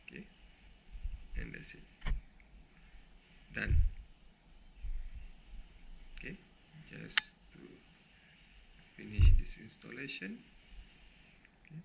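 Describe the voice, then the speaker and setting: A man teaching a fishing knot while working with his hands, talking slowly and haltingly with many "okay" and "uh" fillers. His first language is Malay. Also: lowish voice, wildly swooping pitch, creaky, heavy background noise. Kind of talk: hands-on tutorial narration. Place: indoors at a table, close over a grey felt mat. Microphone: action camera pointed down at his hands.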